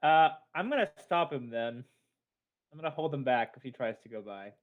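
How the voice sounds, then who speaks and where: A man's voice: medium pitch, lively intonation, normal speed. A man chatting casually as a player in an online tabletop game. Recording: dull, muffled online call audio.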